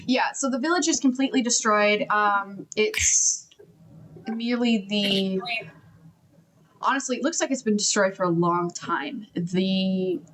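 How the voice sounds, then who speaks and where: A young woman narrating a tabletop game as game master over a voice call, casual and quick to laugh. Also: mid-range voice, fairly bright tone, slight background noise.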